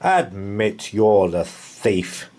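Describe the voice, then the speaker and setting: Male reader as indignant knight, storybook, bellowing, outraged, accusatory, animated pitch. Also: extremely slow, full character voice. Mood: accusing.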